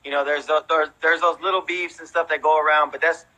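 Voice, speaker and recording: Man in his thirties, American accent, fairly high pitch, forceful bright tone, informal conversational storytelling. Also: flat delivery, a little fast, rough recording. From raised in Houston, United States, born in Mexico. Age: 30s. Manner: informal, peppers talk with "you know" and "man". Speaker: interview guest, veteran breakdancer.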